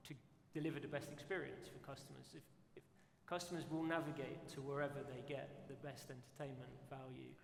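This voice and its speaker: A man speaking on a conference panel in a measured, deliberate way, often pausing on fillers. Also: mid-range voice, level tone, gravelly. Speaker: operator panelist. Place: conference hall on stage. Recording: echoey conference hall with faint noise.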